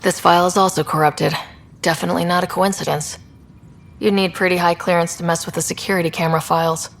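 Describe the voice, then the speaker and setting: A young woman explorer leading a team in a sci-fi video game. She talks quickly in a slightly low voice, brisk and businesslike, over faint background noise. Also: level tone, vocal fry. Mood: investigative, businesslike. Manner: brisk, matter-of-fact. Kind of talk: video game dialogue acting.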